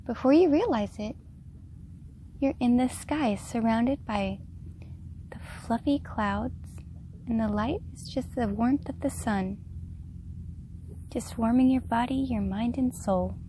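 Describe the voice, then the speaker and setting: A woman leading a guided meditation in a gentle, unhurried, soft-spoken way. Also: on the high side, slight background noise, low-quality recording. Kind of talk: guided meditation, slow soothing narration.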